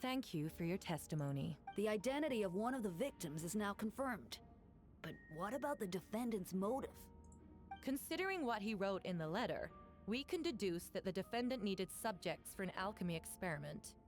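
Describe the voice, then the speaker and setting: A woman's voice: mid-range voice, expressive, brisk, soft background music, close to an everyday voice. An actress playing a severe, articulate woman prosecutor in a fantasy game, formal and assertive. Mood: accusing. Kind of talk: video game voice acting.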